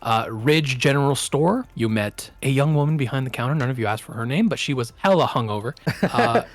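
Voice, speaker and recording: A man acting as game master, casually and haltingly recapping a tabletop game session. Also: medium pitch, expressive, no room sound.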